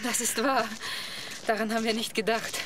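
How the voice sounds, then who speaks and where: Courageous biblical woman for radio play, female actor, high-pitched, resolute, slight background noise. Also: little pitch movement, moderate pace, natural voice. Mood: practical. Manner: earnest, resolute. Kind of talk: German religious audio drama. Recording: faint ambient noise behind.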